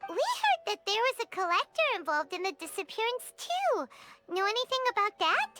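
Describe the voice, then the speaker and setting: Tiny chirpy sprite girl, very high, bouncy sing-song voice, game audio with faint music. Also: big pitch swings, moderate pace, heavily acted. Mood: curious. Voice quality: high and bright. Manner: refers to herself in the third person. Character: tiny chirpy female floating companion sprite. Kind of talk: game voice acting.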